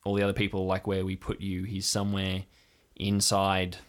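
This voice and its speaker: A man playing an earnest, wary young woman from a compound in an improvised audio drama, speaking in a hesitant, deliberate way. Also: low-pitched, completely flat delivery, natural voice.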